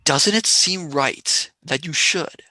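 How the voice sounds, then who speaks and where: A woman's voice: sits low, slow, bright, forceful tone, no echo, full character voice. A performer playing a wry, sardonic young male space traveller who turns sarcastic and wheedling in a radio play.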